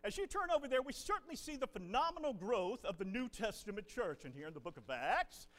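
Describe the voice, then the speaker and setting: A man, a visiting preacher leading a Bible class and prayer in a folksy, animated way. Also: sits high, brisk, faint music behind. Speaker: guest preacher. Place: church building.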